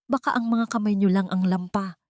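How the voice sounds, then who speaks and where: A woman's voice: mid-range voice, fairly even intonation, a little fast, a little mellow, no room sound, clean studio sound, lightly acted. Haughty, commanding Filipino boss woman, as a narrator reads her. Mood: scornful, impatient. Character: arrogant, threatening young woman bossing craftsmen around.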